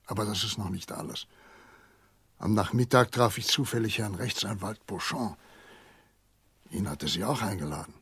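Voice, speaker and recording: An actor playing a grave, kindly elderly family doctor in a radio play, speaking in a measured, husky voice. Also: male voice, lowish voice, a bit bright, no room sound, exaggerated voice. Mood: grave, insistent. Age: older. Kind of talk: German radio play acting.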